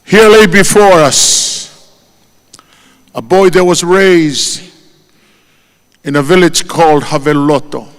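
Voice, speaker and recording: A man with a Tongan accent gives a funeral eulogy at a very unhurried pace. His delivery is solemn and measured, and he lifts his voice for each name. His words ring out with a long reverb, as in a large church. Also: on the high side.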